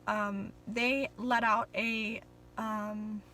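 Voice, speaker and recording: A woman's voice: mid-range voice, little pitch movement, extremely slow, sharp tone. A young woman vlogging in a casual, rambling way, full of ums and apologies, a little nervous on camera.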